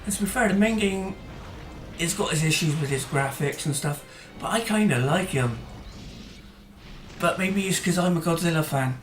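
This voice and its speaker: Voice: medium pitch, animated, normal speed. Rambling casual gamer in a roomy echo. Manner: chatty and rambling, with hesitant fillers and restarts. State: voice tiring. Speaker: gaming channel host. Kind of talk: casual gameplay commentary. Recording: roomy echo, faint music behind.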